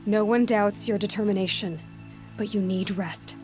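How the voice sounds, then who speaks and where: A woman's voice: medium pitch, normal speed, darkish tone, lightly acted. A young huntress heroine, acted by a woman for a video game. She talks in a natural, conversational way, self-assured with a wry edge, with faint music underneath. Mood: concerned.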